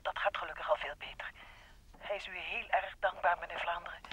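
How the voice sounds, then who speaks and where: A woman's voice: on the low side, a little fast, fairly bright tone, breathy edge. An actress playing an earnest, emotional woman in an old Dutch radio mystery. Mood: grateful, earnest. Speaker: radio drama actor. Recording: noisy vintage radio recording.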